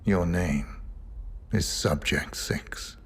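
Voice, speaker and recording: Deep, deadpan hitman. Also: very slow, creaky, full character voice. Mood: guarded, grave. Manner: terse, deadpan, guarded. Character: stoic, emotionless male contract killer of few words. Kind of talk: terse video game cutscene acting.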